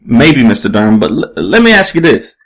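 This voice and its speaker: A man's voice: medium pitch, sing-song, fairly quick, fairly bright tone, slightly rough. A man voicing a pushy, relentless female investigative reporter in an audiobook story, with an emphatic, probing delivery.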